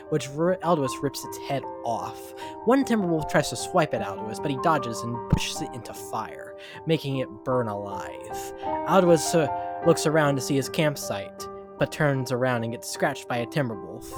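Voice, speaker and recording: A man's voice: mid-range voice, lively intonation, normal speed. A man reading scripted story narration as the narrator. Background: background music.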